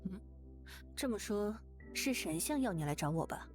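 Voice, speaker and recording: A woman's voice: medium pitch, lively intonation, moderate pace, lightly acted. An actress playing a perceptive, direct young woman in a visual novel, speaking in a probing, self-assured way. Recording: clear game music behind the voice. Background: game music.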